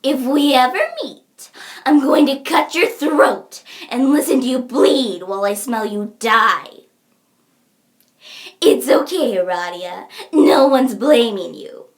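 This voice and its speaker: A woman voicing an odd, blunt young troll girl with deliberate, theatrical delivery. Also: on the high side. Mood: menacing. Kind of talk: fan character voice acting reel.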